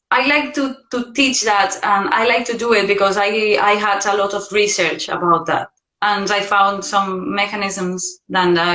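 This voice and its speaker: Slow-talking bright-voiced woman in her thirties. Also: medium pitch, reverberant, poor audio. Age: 30s. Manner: hesitant, many fillers and restarts, lively when acting out memories. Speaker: vocal coach. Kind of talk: casual livestream chat. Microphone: phone or laptop on a video stream.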